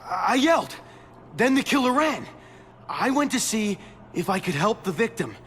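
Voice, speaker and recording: A nervous bystander stammers and pleads in a high, jumpy voice. His pitch leaps around anxiously, with a faint hum of ambience behind him. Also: big pitch swings, normal speed, a bit bright. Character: nervous male bystander wrongly accused of murder. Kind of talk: video game cutscene acting.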